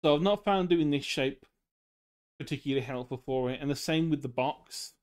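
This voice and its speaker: A man's voice: on the high side, normal speed, clear, resonant tone. A young man casually explaining drawing on a live stream, in rambling, loose sentences full of fillers.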